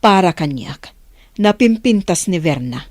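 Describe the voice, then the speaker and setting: An inquisitive radio-drama mother, acted by a woman, probes and admonishes her grown son. Her pitch moves in lively swings. The sound is dry and close. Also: a little slow, no room sound. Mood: probing, admonishing. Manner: probing, admonishing. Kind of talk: Ilocano radio drama acting.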